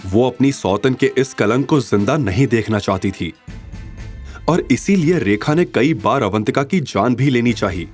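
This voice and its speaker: A man narrating a scripted audio drama in an expressive, suspenseful way. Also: medium pitch. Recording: music bed behind.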